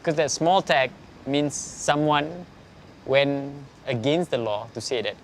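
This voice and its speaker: A man, a graffiti artist, explaining his work in a relaxed way with fillers in a documentary interview. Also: mid-range voice, slow, a little hiss or hum.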